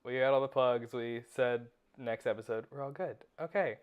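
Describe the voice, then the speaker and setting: A woman's voice: rumbling low, moderate pace, phone-quality sound. A woman with a light Southern US accent co-hosting a podcast, chatting casually and laughing often. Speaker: podcast co-host. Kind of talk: casual chat.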